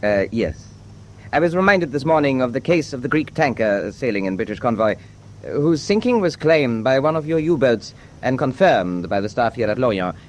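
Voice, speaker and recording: An actor playing a suavely sceptical Nazi ministry official in a radio drama, precise, polite and insinuating. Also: male voice, medium pitch, sing-song, normal speed, a little hiss or hum, close to an everyday voice. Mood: polite, probing.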